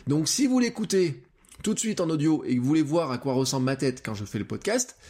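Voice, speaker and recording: A man hosting his own podcast, talking straight to his audience as he works through an idea. His pitch rises and falls a lot, and he often stops on hesitations and restarts mid-thought. He sits close to the microphone. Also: animated, fairly quick, darkish tone. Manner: explanatory, thinks aloud with frequent hesitations. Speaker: podcast host. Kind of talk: solo podcast monologue.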